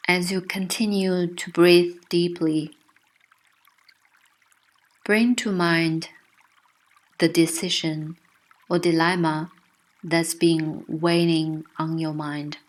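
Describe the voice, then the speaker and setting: A woman narrating a guided meditation with gentle, soothing, unhurried instruction. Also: lowish voice, little pitch movement.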